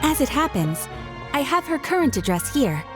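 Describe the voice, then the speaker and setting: Courteous guild clerk for a game, slightly high voice, melodic delivery, formal and obliging. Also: female voice, big pitch swings, over music. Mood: helpful. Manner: formal, measured. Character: courteous, dutiful female guild receptionist, formal. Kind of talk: video game voice acting.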